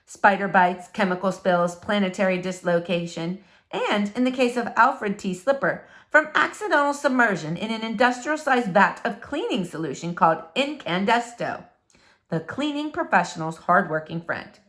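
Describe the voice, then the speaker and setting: A woman reading a children's book aloud in an expressive, dramatic storytelling manner, with deliberate, emphatic phrasing. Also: mid-range voice. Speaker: storybook reader.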